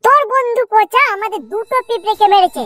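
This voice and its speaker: A man voicing bullying, greedy little cartoon ants with a West Bengali accent, pushy and crude, in a pitched-up voice. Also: fast talker, sharp tone, faint music behind. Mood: demanding. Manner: pushy, demanding. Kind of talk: crude comedy cartoon dialogue.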